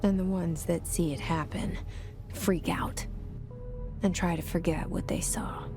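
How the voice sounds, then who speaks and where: Loud music plays around a guarded young woman's inner monologue in a video game. Her voice sits lowish and half-whispered, drifting slowly as if confiding a secret. The delivery is introspective and brooding. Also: steady tone. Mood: grave, hushed. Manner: slow, hushed, confiding. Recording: music under the voice. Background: loud background music.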